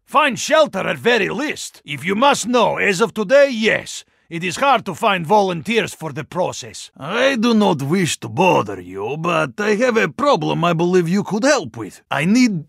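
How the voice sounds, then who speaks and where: A male actor playing a huge, earnest, courteous Russian yeti in a video game, with a Russian accent, gravelly voice and formal, stilted phrasing. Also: sits high, sing-song, unhurried, few pauses, fairly bright tone, dry recording, studio quality, exaggerated voice. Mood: earnest, insistent. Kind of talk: video game character voice lines.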